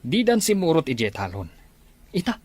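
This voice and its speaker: Man acting a disgruntled Ilocano father, animated pitch. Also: normal speed, husky, dry recording, full character voice. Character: disgruntled farmer father, stern about lazy children. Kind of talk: amateur Ilocano radio-style family drama.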